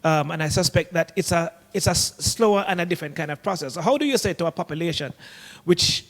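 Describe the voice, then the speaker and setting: Measured man on a panel, roomy reverb. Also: fairly high pitch, fairly bright tone. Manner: measured, analytical. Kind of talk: academic panel discussion. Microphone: video call.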